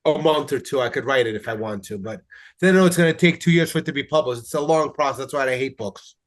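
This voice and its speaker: A man's voice: medium pitch, fast talker. A congested man, a journalist guest, earnestly explaining his work in a casual interview. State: congested from allergies.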